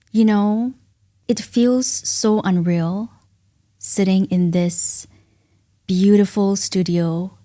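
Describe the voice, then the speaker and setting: A woman with a Singaporean accent hosts a solo podcast in a studio, speaking earnestly and reflectively in deliberate phrases with pauses. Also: mid-range voice, dark tone. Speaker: podcast host.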